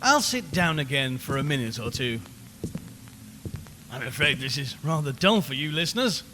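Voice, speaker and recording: A man acts out a male radio reporter in a haunted-house audio drama, in a long echoing space with audible noise behind him. He talks to listeners in a wry, uneasy, chatty way. His delivery swings widely in pitch. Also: very animated, moderate pace, pushed and bright. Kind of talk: dramatised live radio commentary. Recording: long reverberant hall, background noise.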